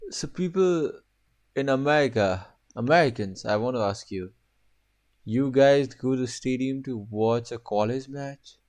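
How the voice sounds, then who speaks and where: A man's voice: mid-range voice, measured pace, a little mellow. A man from Nepal reacting casually to a video, exclaiming and asking curious questions.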